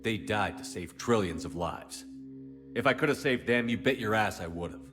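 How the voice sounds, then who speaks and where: Game lead: male actor as unflinching military commander, fairly deep, terse, matter-of-fact delivery. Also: lively intonation, moderate pace, natural voice. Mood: defiant. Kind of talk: cinematic science fiction game dialogue. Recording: music under the dialogue.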